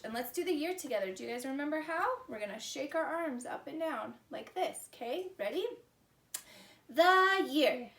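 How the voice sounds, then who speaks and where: High-voiced woman teaching preschoolers, slow and roomy. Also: a bit bright. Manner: sing-song, instructing young children with simple questions. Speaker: preschool teacher, story-time host. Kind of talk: children's lesson and read-aloud. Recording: roomy, distant mic with faint noise.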